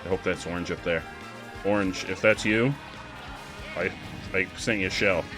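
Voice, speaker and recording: A young man casually commenting on a kart race while chatting with his viewers, excitable and quick to laugh. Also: sits low, music in the background. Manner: excitable, giggly, chats with viewers. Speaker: streamer.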